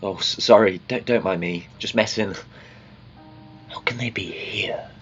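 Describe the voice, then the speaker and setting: A man's voice: lowish voice, a little slow, fairly bright tone, muffled recording, soft background music. A man playing an eccentric, impatient alien time traveler in an audio drama, with a flustered, blunt delivery. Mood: distracted, evasive.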